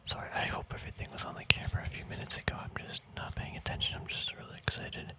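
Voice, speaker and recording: An ASMR host speaking in a casual, rambling whisper, hesitant and thinking aloud. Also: very fast. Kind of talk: ASMR whispering, casual card unboxing chat.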